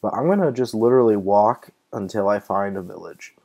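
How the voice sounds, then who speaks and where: A young man with a deep, mellow voice narrates his gameplay in a loose, chatty way. He gets excited easily and thinks aloud with plenty of fillers. He's a little sick, so his voice sounds slightly off. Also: a little fast. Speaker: gaming channel host. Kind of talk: casual let's-play commentary.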